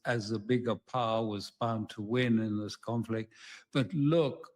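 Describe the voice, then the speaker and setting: A man giving political commentary as an interview guest, heard quietly as original audio under a dub. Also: on the low side, takes it slowly.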